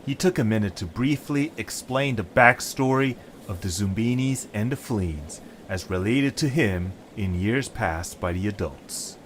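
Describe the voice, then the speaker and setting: A man's voice: lowish voice, animated, unhurried, hardly any pauses, a little hiss or hum. A man reading scripted narration for an audio story. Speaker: narrator and lead voice actor.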